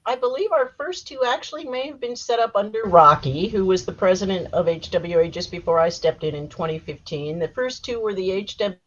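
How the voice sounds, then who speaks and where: A woman's voice: mid-range voice, a little fast, few pauses. A woman, an author, speaking informally on a panel in dull, lo-fi audio. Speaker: author and Halloween historian, panelist.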